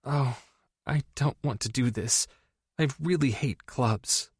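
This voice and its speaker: A guarded young woman trying hard to stay composed, speaking in a tight, measured way, as a male narrator puts her on. Her voice sits lifted above a man's but stays controlled. Dry, close audiobook recording. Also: very deep voice, darkish tone, slightly rough, no echo, voice pushed up, heavily acted. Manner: tight, composed, a little exasperated. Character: guarded, reserved young woman, easily embarrassed. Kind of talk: audiobook character voice.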